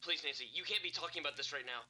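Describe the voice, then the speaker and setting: An actor playing a stern, protective park ranger with an urgent, commanding manner in a found-footage horror drama. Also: male voice, fairly high pitch, racing, pushed and bright. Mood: urgent, pleading.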